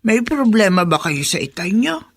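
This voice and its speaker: An actress playing an elderly neighbour woman in a radio drama, halting and hesitant, gossipy but concerned. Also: medium pitch, very animated, husky. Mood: musing, concerned.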